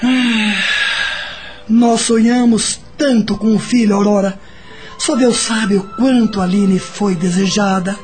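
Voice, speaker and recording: An actor playing a gentle, concerned middle-aged father in a radio drama, earnest and soothing. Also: mid-range voice, steady tone, takes it slowly, soft background music, lightly acted. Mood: wistful concern.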